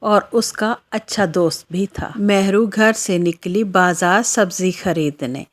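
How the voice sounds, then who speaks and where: A woman's voice: medium pitch, slow. A woman narrating a scripted children's moral story as the storyteller.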